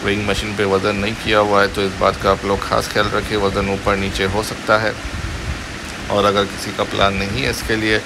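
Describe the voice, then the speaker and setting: A man's voice: lowish voice, background noise. A man with an Indian accent giving a casual promotional voice-over as a video host.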